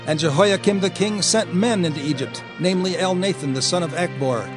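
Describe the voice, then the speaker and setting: A man's voice: high-pitched, a little fast, music in the background, natural voice. A man playing a grave elder addressing an assembly in a declamatory, dramatized scripture reading. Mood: grave, cautioning. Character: grave male elder recalling past prophecies.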